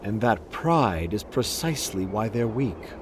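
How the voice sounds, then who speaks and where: A cunning game strategist with a low, very animated voice. He is formal and theatrical, loyal to his lord, and talks deliberately over music. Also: noisy background. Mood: calculating, assured. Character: shrewd, theatrical military strategist, loyal retainer to a prince. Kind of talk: video game voice acting. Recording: music behind the voice.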